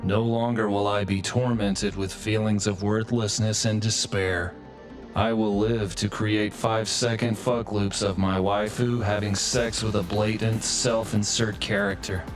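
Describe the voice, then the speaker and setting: An actor playing a pathetic, self-loathing office drone in a video game, speaking in a stilted, formal, morose deadpan. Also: male voice, fairly low pitch, a little slow, soft background music, close to an everyday voice. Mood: deadpan, newly resolved. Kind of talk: video game voice acting, melodramatic monologue.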